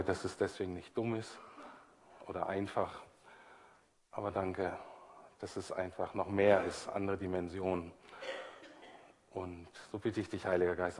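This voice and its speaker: A man preaching in church, his voice a little hoarse from a cold, talking in a relaxed way with many fillers. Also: low-pitched, big-room sound. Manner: informal, self-deprecating, with many fillers. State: has a cold, voice a bit husky. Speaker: pastor. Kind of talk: prayer and sermon.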